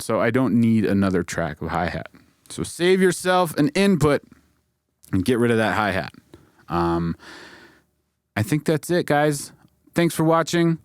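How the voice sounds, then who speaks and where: A man's voice: medium pitch, smooth, no room sound, studio quality. A man giving a casual, explanatory tutorial as an instructor, informally checking in with his listeners as he goes. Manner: informal, checks in with "okay?" and "right?". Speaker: audio mixing instructor and video host.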